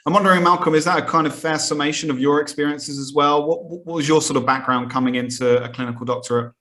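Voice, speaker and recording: A man's voice: a little high, steady tone, fast talker, a bit bright. A man hosting a psychology podcast, summarizing his guests' answers and asking thoughtful questions with many fillers. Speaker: podcast host. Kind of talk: conversational interview questions.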